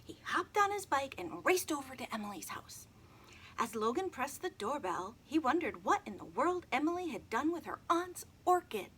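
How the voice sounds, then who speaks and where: A woman reading a children's chapter book aloud, addressing young listeners in an expressive storytelling manner. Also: sits high, moderate pace. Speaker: read-aloud host.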